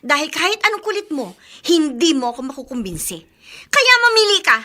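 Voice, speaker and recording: A woman's voice: sits high, sing-song, a little fast, pushed and bright, exaggerated voice. A woman playing an earnest, feisty young Filipino woman in a radio drama, speaking emotionally and emphatically. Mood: indignant. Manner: emotional, emphatic, mixes in English phrases.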